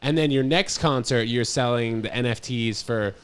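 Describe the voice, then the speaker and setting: A man's voice: medium pitch, normal speed, a little hiss or hum. A man hosting a podcast, chatting casually and informally in slangy talk as he interviews. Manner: slangy, informal, addresses guest as bro. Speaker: podcast host.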